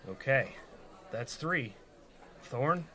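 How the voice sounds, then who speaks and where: A man's voice: mid-range voice, lively intonation, extremely slow, bright, forceful tone, a little hiss or hum, heavily acted. A young rogue played by a man with a mid-range voice, casual and persuasive. Comedy radio play acting of a reluctant leader, faint crowd murmur behind. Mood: negotiating. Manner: casual, bargaining.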